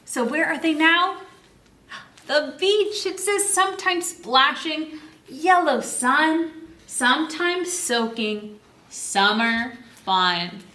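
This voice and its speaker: A woman's voice: high-pitched, sharp tone, reverberant. A woman hosting a children's story time, reading aloud and explaining in a sing-song, exclaiming way with questions she answers herself.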